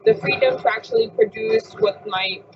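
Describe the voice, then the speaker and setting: A teenage girl student speaking in a measured, thoughtful way. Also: a little high, sing-song. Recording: dull lo-fi audio with ambient noise.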